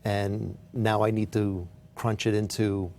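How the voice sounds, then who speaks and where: A man hosting a TV interview show, encouraging and quick with short affirmations. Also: fairly low pitch, level tone, gravelly, a little mellow, a little hiss or hum. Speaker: talk show host. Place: television studio.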